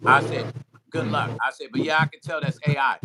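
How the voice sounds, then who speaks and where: A man hosting a casual livestream, chatting with a guest and reading viewer comments aloud in an animated way. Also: a little high, a little fast, heavy background noise. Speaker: livestream host.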